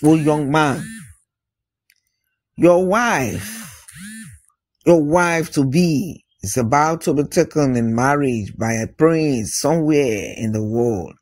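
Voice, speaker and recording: A man voicing an old female soothsayer in a folktale, urgent and chiding as she prophesies, in a husky voice. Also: on the high side, lively intonation, slow, pauses often, darkish tone. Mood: urgent, scolding.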